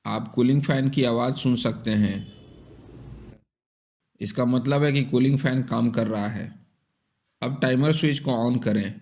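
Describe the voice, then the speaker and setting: A man's voice: medium pitch, measured pace, pauses often, a little mellow. Methodical man narrating a repair tutorial. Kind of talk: step-by-step instructional narration.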